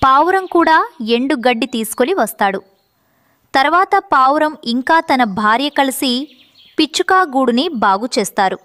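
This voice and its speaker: A woman's voice: high voice, animated, a little slow, fairly bright tone, no echo. A woman narrator reading a scripted moral story for children.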